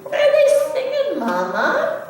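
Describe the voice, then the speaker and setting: A woman's voice: very high-pitched, wildly swooping pitch, measured pace, pitched above the performer's own voice, full character voice. A woman voicing an eager, curious little girl bear cub in a children's storybook reading, with childlike questions. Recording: echoey room, distant mic, background noise.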